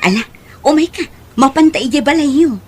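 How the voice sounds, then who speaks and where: Coaxing, high-voiced auntie. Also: female voice, lively intonation, normal speed, darkish tone. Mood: glad, concerned. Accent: Ilocano. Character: Auntie Mikaela, persuasive, caring female relative. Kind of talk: Ilocano audio drama acting.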